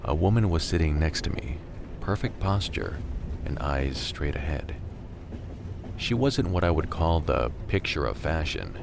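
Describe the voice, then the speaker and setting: Guy playing a tired jaded survivor dude narrating a radio drama, super deep croaky voice, dry and wry. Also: very animated, unhurried, noisy background, exaggerated voice. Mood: weary, matter-of-fact. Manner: world-weary, wry, matter-of-fact. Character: jaded male scavenger in a silent post-virus America, narrating.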